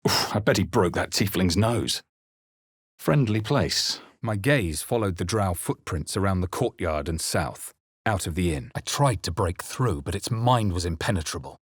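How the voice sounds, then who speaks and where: A man voicing an earnest, wry male adventurer hero in a fantasy video game, telling his quest in a measured, brooding, dramatic way. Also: sits low, lively intonation, breathy edge, no echo, clean studio sound. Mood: wry, musing. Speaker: video game player-character voice actor. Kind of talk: dramatic game narration and short character barks.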